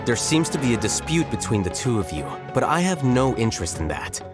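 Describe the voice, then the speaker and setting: A man voicing a composed, analytical young scholar in a video game, speaking in a measured, formal, matter-of-fact way. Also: mid-range voice, big pitch swings, music in the background, natural voice. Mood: firm, detached.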